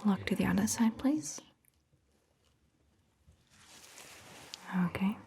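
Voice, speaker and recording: A woman voicing a calm, attentive vampire doctor in a gentle, breathy, mostly whispered ASMR roleplay, speaking in a measured way. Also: medium pitch, heavily acted. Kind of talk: soft-spoken ASMR roleplay.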